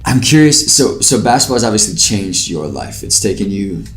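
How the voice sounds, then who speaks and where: A man's voice: fairly low pitch, big pitch swings, moderate pace, faint music behind. A man hosting a podcast interview, asking questions in a laid-back, slangy way with plenty of fillers. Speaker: podcast host. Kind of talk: casual interview conversation.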